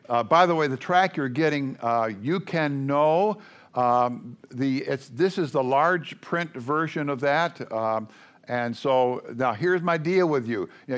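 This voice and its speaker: An older man preaching to a congregation in a loud, folksy, joking way, rambling with many fillers. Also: fairly high pitch.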